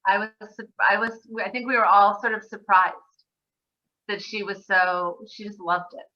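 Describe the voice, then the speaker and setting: A woman's voice: medium pitch, fairly even intonation, normal speed, pushed and bright, highs cut off. A woman bookseller co-hosting an event, asking a guest author thoughtful questions over a video call. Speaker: bookseller co-host.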